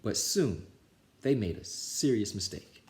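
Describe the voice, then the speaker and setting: Low-voiced man reading a children's story. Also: lively intonation, a little slow, darkish tone, a little hiss or hum. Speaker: storytime reader. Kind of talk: children's picture book read-aloud.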